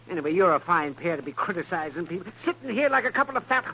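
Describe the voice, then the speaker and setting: An American actor playing a testy, querulous older town doctor in a 1950s radio western. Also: male voice, sits high, quick pace, full character voice. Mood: testy, bantering. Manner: querulous, animated. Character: crusty, testy older male frontier doctor. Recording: muffled, noisy old radio recording.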